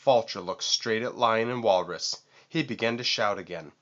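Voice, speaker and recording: A man reading a children's story aloud in an expressive, deliberate manner. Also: fairly low pitch, highs cut off, slight background noise. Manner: deliberate, animated. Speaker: storybook reader.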